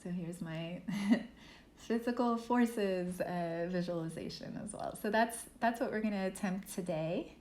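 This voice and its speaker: A woman instructor leading an art workshop, walking students through software step by step in a relaxed, thinking-aloud way. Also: on the low side, measured pace. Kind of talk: software tutorial lesson. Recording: echoey room with faint noise.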